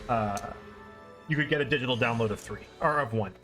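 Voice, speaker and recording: A man's voice: medium pitch, fairly quick, fairly bright tone, soft background music. A man chatting casually as he streams a video game. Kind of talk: casual live stream commentary. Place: indoor live stream with game music behind.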